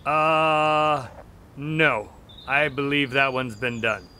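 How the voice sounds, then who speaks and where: A man's voice: medium pitch, fairly even intonation, extremely slow, sharp tone, smooth. A man playing an affable doctor, a detective's sidekick who recounts cases politely in a radio drama. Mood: mildly exasperated. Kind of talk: radio drama acting and storytelling.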